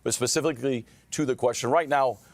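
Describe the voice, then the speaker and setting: A man speaking as an aviation official, giving a formal interview statement in careful, measured phrasing with some hesitation. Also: medium pitch, no echo. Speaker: aviation official speaking for air traffic controllers.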